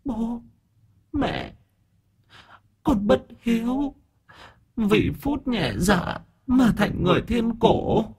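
A man voicing the ghost of a young girl who speaks softly and sorrowfully. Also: extremely high pitch, drawn-out words, long pauses, slightly rough, pitched above the performer's own voice, exaggerated voice.

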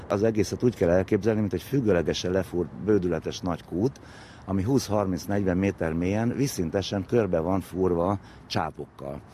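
Deep dark voiced guy explaining stuff like an informal lecture, bit of background noise. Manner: explanatory, uses vivid comparisons. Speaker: expert interviewee. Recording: faint background noise and ambience.

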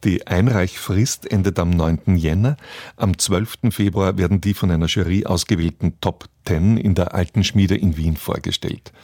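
A man with a very low voice presents on the radio in a scripted, announcer's style. The sound is dry and close, with no room around it. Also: moderate pace, mellow, no room sound.

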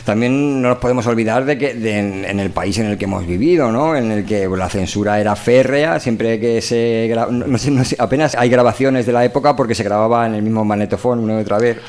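A man with a European Spanish accent chatting colloquially as a podcast host, halting and repeating words as he searches for phrases. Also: medium pitch, darkish tone. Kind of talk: casual chat.

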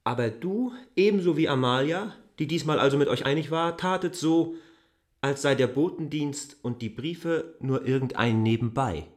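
An actor narrating and speaking as a man in a radio play, calm and measured. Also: mid-range voice, natural voice.

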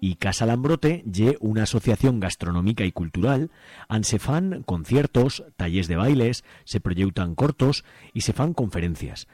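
A man presenting a radio magazine, reading out listings in a halting way with drawn-out phrase endings. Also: fairly low pitch, lively intonation, warm tone, no room sound, faint music behind.

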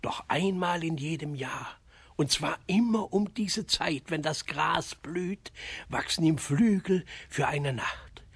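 A man's voice: fairly high pitch, takes it slowly, vocal fry, a bit bright, slightly rough, no echo, exaggerated voice. An actor playing a distraught village father in a children's radio play, telling things in a plaintive, dramatic way. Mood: grim, warning.

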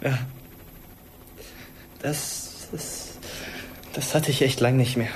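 A man's voice: medium pitch, steady tone, takes it slowly, slight background noise, natural voice. An actor playing a sullen, insecure teenage boy in a naturalistic German radio drama, defiant yet hesitant, answering tersely. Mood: vulnerable, confessional. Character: sullen, insecure teenage boy school shooter craving fame.

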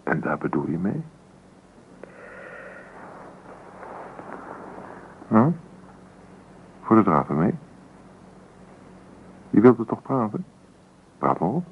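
A young husband in an old radio play, stubborn and dismissive. His voice is very low with a heavy creak, and he talks in a curt, sardonic way. The sound is narrow and phone-like with some hiss. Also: sing-song, a little slow, pauses often, exaggerated voice. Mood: gruff, challenging. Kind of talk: naturalistic radio drama acting.

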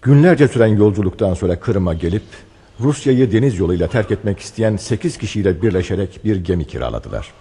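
Male radio play narrator, husky and mellow, measured storytelling with a lively melodic range, drenched in reverb. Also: fairly low pitch. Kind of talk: scripted radio drama narration.

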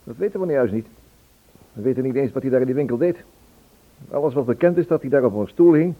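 An actor in a Dutch radio play, playing a worried, impatient British police inspector who speaks curtly. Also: male voice, mid-range voice, lively intonation, quick pace, natural voice. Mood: grave. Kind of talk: Dutch radio drama acting. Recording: muffled lo-fi old radio recording.

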